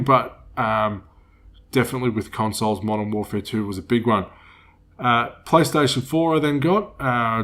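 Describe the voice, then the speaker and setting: A man in his thirties with a cold that makes him a bit nasal, hosting a casual solo podcast in a rambling, relaxed way. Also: medium pitch. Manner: rambling, relaxed, with many fillers. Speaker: podcast host.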